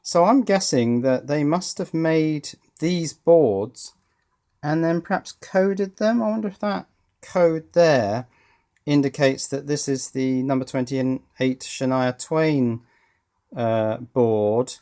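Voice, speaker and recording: A man's voice: a little high, slow pace, warm tone. A man giving unscripted teardown commentary as a hobbyist presenter, thinking aloud with dry, understated humour.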